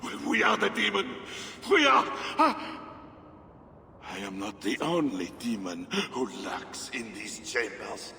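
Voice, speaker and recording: An actor playing a mad, scarred king in a video game, raving theatrically and haltingly about the demon inside him. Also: mid-range voice, very animated, gravelly, sharp tone, echoey room, big-room sound, slight background noise, exaggerated voice. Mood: unhinged agitation. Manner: theatrical, halting then erupting. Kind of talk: dramatic video game acting.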